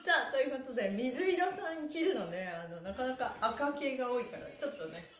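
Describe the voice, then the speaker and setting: Female stretching instructor, middle-aged, high lively voice, exuberant and playfully polite, calling out moves in an echoey small room. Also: a little fast. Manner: cheerful, exuberant, laughing often; playfully polite, adding honorifics to body parts. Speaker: stretching instructor and pantomime performer hosting a morning livestream. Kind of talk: upbeat exercise instruction with chanted counting phrases. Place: small indoor room, seated on a mat by a bare wall.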